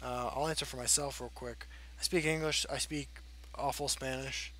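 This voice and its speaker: A man's voice: mid-range voice, steady tone, moderate pace, pushed and bright, slight background noise, rough recording. A man hosting his channel, answering viewer questions in a rambling, frank way with many fillers. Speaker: channel host. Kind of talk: casual Q&A chat.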